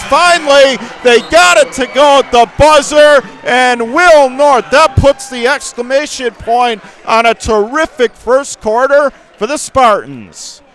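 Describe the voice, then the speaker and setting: A man doing live radio play-by-play of a high school basketball game, speaking in clipped running phrases as the announcer. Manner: clipped running phrases, swelling into excited calls during action.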